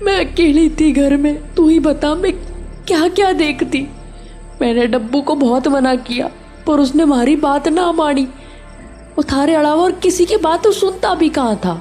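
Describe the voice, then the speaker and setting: A woman's voice: high voice, dark tone, faint music behind. A woman playing a guilt-ridden older caretaker, mournful and pleading in a horror audio drama. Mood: tearful remorse. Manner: mournful, regretful, halting.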